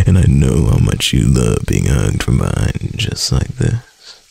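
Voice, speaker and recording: A young man voicing a doting, clingy husband in a soft, intimate ASMR roleplay. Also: extremely low pitch, sing-song, takes it slowly, gravelly, breathy edge, exaggerated voice. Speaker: voice actor.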